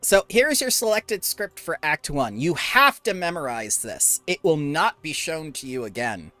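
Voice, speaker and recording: Brief: animated tabletop GM, laughs easily, wide pitch swings. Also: unhurried, dry recording, soft background music. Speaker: game master. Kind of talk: narrating a tabletop game.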